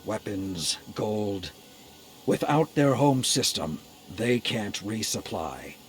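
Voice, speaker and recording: An actor playing a stern, battle-hardened military commander in a video game, speaking gravely and with measured authority. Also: male voice, fairly low pitch, lively intonation, a little hiss or hum. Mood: resolute briefing. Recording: faint music behind. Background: game soundtrack music.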